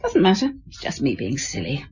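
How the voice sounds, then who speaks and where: A woman playing a caring, wistful wife at home in a radio drama, speaking gently and tentatively. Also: a bass voice, wildly swooping pitch, fairly quick, husky, muffled recording, heavily acted.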